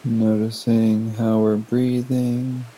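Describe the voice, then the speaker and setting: Mellow-voiced man guiding a meditation. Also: mid-range voice, level tone, low-quality recording. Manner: gentle, unhurried with long pauses. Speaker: meditation teacher. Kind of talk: guided meditation.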